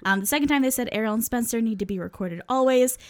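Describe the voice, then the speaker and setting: A woman's voice: on the high side, quick pace. A young woman co-hosting a podcast, chatting casually with lots of fillers and laughs, swearing freely. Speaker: podcast co-host.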